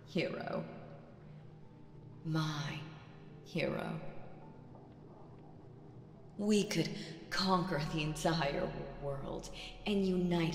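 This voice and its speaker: A woman voicing a seductive, domineering demon queen in a dramatic audio roleplay, speaking theatrically and deliberately with teasing menace. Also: low-pitched, darkish tone, exaggerated voice. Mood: seductive, solemn.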